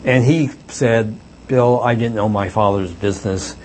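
A man's voice: on the low side, measured pace, a little mellow, slight background noise. Hesitant older man telling stories. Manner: reflective, hesitant storytelling with many fillers. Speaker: author and former reporter. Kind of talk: book talk with audience questions.

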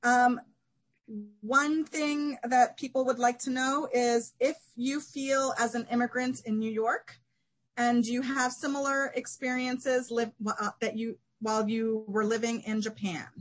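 A woman's voice: a little high, steady tone, measured pace. A woman who teaches Japanese literature, interviewing a guest and reading out questions with a few fillers.

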